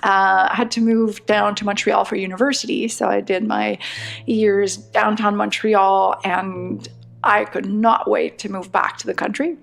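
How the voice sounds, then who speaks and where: A woman's voice: mid-range voice, level tone, a little slow, vocal fry, faint music behind. A middle-aged woman from Quebec with a Quebec French accent, a French speaker talking in English as a podcast guest, reflective and chatty. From Quebec, Canada. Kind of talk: conversational interview.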